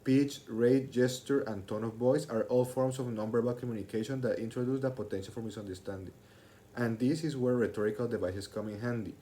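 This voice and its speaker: A man's voice: medium pitch, steady tone, fairly quick, dark tone, slight background noise. A young man, a student, delivering a prepared class speech in a formal, expository manner, as if reading from his text. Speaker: student speaker.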